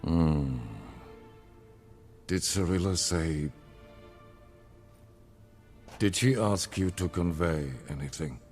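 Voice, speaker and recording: A man playing a cold, stern ruler in a video game, speaking in a formal, measured and haughty manner. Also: sits low, a little mellow, soft background music. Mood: cold, restrained. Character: cold, imperious male ruler, stern and dismissive. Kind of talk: video game cutscene acting.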